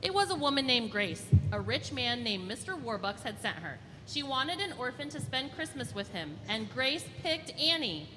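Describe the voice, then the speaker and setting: A woman storyteller reading a children's storybook aloud in an expressive, deliberate way. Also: high-pitched. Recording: reverberant hall, faint background noise.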